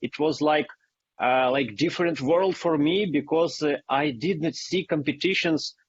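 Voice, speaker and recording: A man's voice: fairly high pitch, unhurried, slightly rough, no echo, highs cut off. A man from Ukraine, a Russian speaker, answering interview questions in English, hesitant and full of fillers. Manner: hesitant, many fillers and restarts. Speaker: guest runner.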